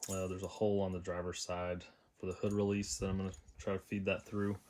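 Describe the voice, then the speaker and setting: A man's voice: low voice, completely flat delivery, a little fast, darkish tone, slight background noise. A man giving a casual, unscripted how-to as a DIY vlogger, thinking aloud as he goes. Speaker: DIY vlogger installing a car radio.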